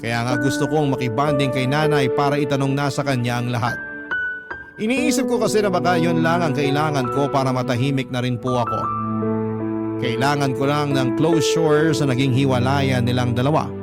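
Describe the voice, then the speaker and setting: A man's voice: mid-range voice, moderate pace. A man with a Filipino accent giving an earnest, sentimental first-person narration of a letter for a radio drama. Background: prominent music bed.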